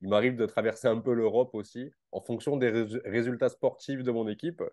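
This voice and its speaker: A man's voice: medium pitch, steady tone, brisk, warm tone, dry recording, studio quality. A man in his late twenties hosting a casual interview from his bedroom, with an informal, slangy way of talking. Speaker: interviewer and host. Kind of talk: casual video call interview. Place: bedroom at home.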